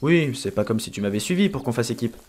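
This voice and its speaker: A man playing an aloof, calculating young potion student in an amateur fantasy audio drama, with a terse, matter-of-fact, deadpan delivery. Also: mid-range voice, fast, close to an everyday voice. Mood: wary, calculating.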